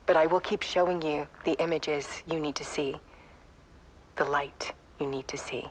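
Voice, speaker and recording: Game role, actress as haunted woman artist: intimate confession, breath-soft half-whisper, halting phrases, slight ambient noise underneath. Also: low voice, fairly even intonation, close to an everyday voice, rough recording. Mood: tender, resolute. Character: haunted artist woman confiding to a lost loved one.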